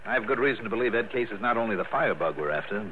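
An actor playing a cool, unflappable American insurance investigator who also narrates, in old-time radio detective style. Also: male voice, lowish voice, expressive, very fast, natural voice. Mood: cool, probing. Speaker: lead and narrator. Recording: tinny, noisy vintage radio recording.

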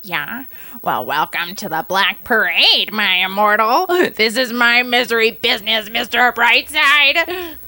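Woman doing a dramatic emo kid, bright voice, goes from whispery to yelling. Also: a little high, expressive, unhurried, dry recording, exaggerated voice. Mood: angsty dramatic. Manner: rambling, theatrical, swings to whispers and outbursts. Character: angsty emo youth, dramatic and earnest.

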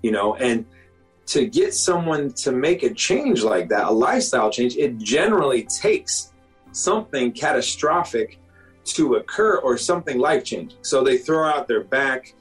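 A man in his forties, a doctor, talking casually in an interview, often checking in with "okay?" and "you know?" and clearing his throat now and then. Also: mid-range voice, big pitch swings, normal speed, faint music behind, poor audio. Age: 40s. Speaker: doctor, guest.

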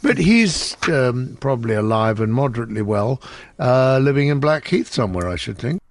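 A man's voice: mid-range voice, big pitch swings, moderate pace, no room sound. Wry older man telling stories. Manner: chatty, wry storyteller. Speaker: musician guest. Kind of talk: anecdotal conversation.

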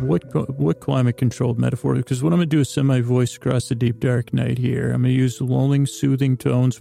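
Very creaky, meandering male podcast host. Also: medium pitch, warm tone. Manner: meandering, halting, silly, self-interrupting. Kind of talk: rambling bedtime podcast intro.

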